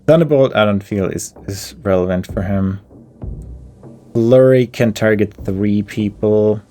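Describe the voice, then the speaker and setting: A man commentating his gameplay, thinking aloud as he weighs options, with pauses and fillers. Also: lowish voice, mellow, soft background music. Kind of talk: thinking aloud while playing.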